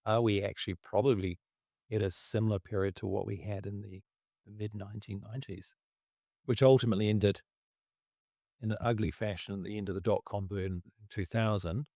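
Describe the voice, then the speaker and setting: A man chats about markets in a halting, casual way, often stopping to clear his throat. His voice sits a little low and is wearing thin as he loses it. The sound is dry and close, with no room around it. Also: steady tone, mellow, no echo. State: losing his voice. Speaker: investment commentator.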